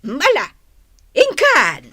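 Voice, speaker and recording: A woman's voice: sits high, huge pitch swings, extremely slow, pushed and bright, exaggerated voice. Fussy, drawling older storekeeper woman. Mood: exasperated. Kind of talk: Ilocano radio comedy drama acting.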